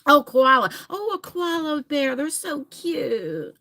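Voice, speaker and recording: A chatty woman in her late forties, from New Jersey, talks into her phone to her live-stream viewers. Her voice is bright and a touch high. Her pitch swings widely as she laughs and answers comments. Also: sing-song, slow pace, creaky. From New Jersey, USA. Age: 40s. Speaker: livestream host.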